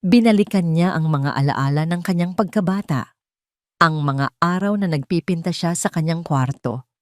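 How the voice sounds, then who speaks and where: A woman narrates a dramatic story from a script. Her voice sits a little low, and the sound is very dry and close, with no room around it. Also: moderate pace, darkish tone, no room sound.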